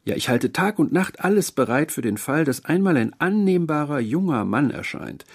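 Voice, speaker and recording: Audiobook role: doting old landlady performed by a man, pitched high, husky, motherly, faintly eerie. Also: animated, moderate pace. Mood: gushing, coy. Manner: gushing, motherly, overly polite. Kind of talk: audiobook character voice.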